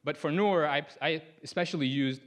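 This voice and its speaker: A man's voice: a little high, normal speed. A young man from Sudan, a native Arabic speaker, giving a casual conference talk on stage into a lapel mic, often using "like" and "you know".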